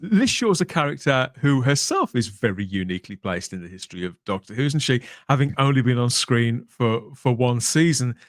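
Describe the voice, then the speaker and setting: A man hosting a podcast in a casual but polished way, discursive and measured, often ending on tag questions. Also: medium pitch, expressive, no room sound, clean studio sound. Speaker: podcast host.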